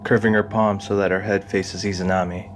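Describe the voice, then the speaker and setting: Male narrator, lowish pitch, scripted dramatic storytelling, faint music behind. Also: flat delivery, fairly quick.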